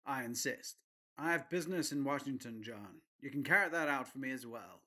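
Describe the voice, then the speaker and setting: Actor as an older gentleman, bright, forceful voice, firm and insistent. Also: mid-range voice, lively intonation, fairly quick. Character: generous older gentleman, Mr Lawrence.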